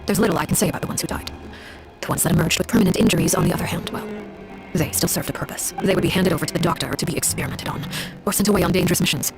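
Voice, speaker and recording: An actress playing a cold, composed woman of high rank in a video game, telling grim history in a measured, formal, unsentimental way. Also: close to an everyday voice. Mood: grim, detached. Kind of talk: scripted dramatic game dialogue. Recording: music behind the voice.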